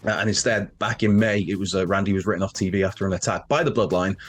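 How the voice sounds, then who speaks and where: A man's voice: deep voice, quick pace, breathy edge, faint music behind. A man hosting a wrestling news show, sounding nasal and bunged up from a cold, rambling and correcting himself as he chats. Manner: rambling, self-correcting, chatty with asides. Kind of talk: casual news chat to camera.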